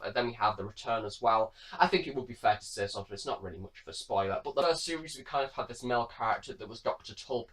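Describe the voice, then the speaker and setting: A man's voice: lowish voice, fairly bright tone. A man giving a review commentary in a rambling, hedging way. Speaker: reviewer, host of an audio drama review series.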